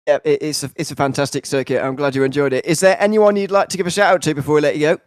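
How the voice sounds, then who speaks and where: Chatty sim racing commentator, higher voice. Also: male voice, fairly even intonation, very fast, dry recording, studio quality. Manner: rambling, filler-heavy, enthusiastic wrap-up. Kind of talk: broadcast commentary and driver interview.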